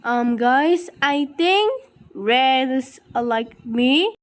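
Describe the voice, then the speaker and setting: A hesitant, polite cartoon character, voiced by a woman. The voice is high and very slow, with drawn-out phrases. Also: expressive, heavily acted. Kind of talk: amateur animated skit dialogue.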